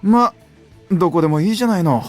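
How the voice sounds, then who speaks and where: A man's voice: high-pitched, racing, a little mellow, soft background music, lightly acted. An actor playing a smug, evasive male stranger in a Japanese visual novel. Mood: brushing off.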